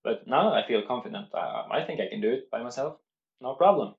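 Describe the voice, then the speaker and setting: Male vlogger at a middle pitch, earnest and step by step, recorded on muffled lo-fi audio. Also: big pitch swings, brisk, creaky, echoey room. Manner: earnest, explains step by step with many restarts. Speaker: language-learning vlogger. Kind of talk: unscripted talk to camera.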